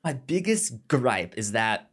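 A man hosting a podcast in casual, opinionated recap chat, animated, with a frequent stutter that repeats the first sounds of words. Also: medium pitch, slow, breathy edge. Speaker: podcast host.

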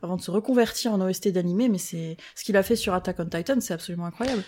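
A woman's voice: medium pitch, racing, a little mellow, a little hiss or hum. A woman chatting casually and enthusiastically as a guest on a podcast.

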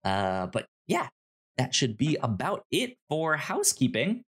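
Podcast host, male, medium pitch, very animated delivery, casual and upbeat. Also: unhurried, breathy edge. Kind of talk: casual podcast chat and housekeeping plugs.

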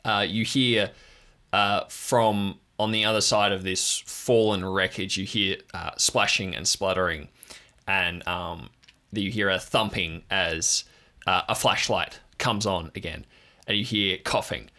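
A man narrating an improvised audio drama scene as game master, halting and deliberate, pausing mid-sentence. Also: sits low, fairly even intonation, sharp tone.

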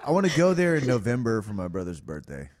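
A man's voice: on the low side, wildly swooping pitch, fast talker, darkish tone. A man in his thirties, a guest in casual chat, who talks upbeat and self-assured. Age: 30s. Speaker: podcast guest.

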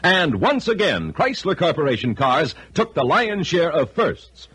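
A man's voice: a little high, big pitch swings, measured pace, a bit bright, husky. A man announcing and reading an advertisement in the style of 1950s radio. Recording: vintage radio recording.

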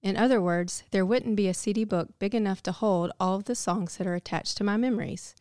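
A woman's voice: medium pitch, a little fast, a little mellow, smooth, dry recording, studio quality. A woman giving a scripted, reflective devotional narration. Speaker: devotional speaker.

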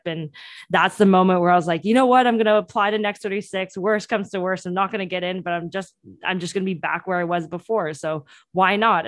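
A woman's voice: fairly low pitch, no echo, clean studio sound. A young woman in her twenties whose first language is Cantonese, telling her story eagerly in a podcast interview with many restarts. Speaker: entrepreneur guest.

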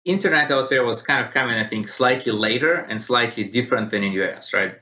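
A man's voice: medium pitch, fast talker. A man from Russia with a Russian accent, a database expert telling stories in an interview and acting out quoted dialogue. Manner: animated storytelling with quoted dialogue. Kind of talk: conversational interview answer.

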